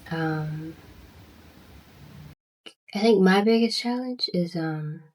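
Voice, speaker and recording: A young woman with a Southern US accent and a stutter, chatting casually in a book vlog with halting restarts and many fillers. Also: on the low side, darkish tone, poor audio. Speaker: booktuber.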